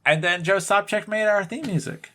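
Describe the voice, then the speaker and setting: A man's voice: high voice, moderate pace, bright, forceful tone. A man co-hosting a podcast in casual, unscripted banter, rambling and often correcting himself in a self-deprecating way. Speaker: podcast co-host.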